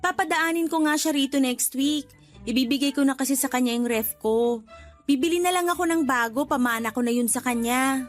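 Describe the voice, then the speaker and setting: A woman's voice: sits high, fairly quick, natural voice. A woman playing a sweet, casually condescending Filipina neighbor in a radio drama, breezy in manner. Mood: friendly, breezy. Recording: light background music under dialogue.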